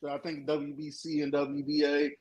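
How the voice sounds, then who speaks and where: A man's voice: a little high, completely flat delivery, moderate pace, muffled recording, crowd noise. A man on a sports podcast panel, chatting casually with slang and some swearing.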